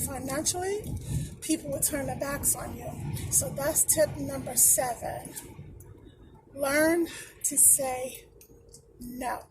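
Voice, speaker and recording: A woman with a hoarse voice she says she has partly lost, giving heartfelt motivational tips in a slow, encouraging way to a phone camera. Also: high voice, noisy background. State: hoarse, losing her voice. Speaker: motivational vlogger. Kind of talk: encouraging casual vlog talk.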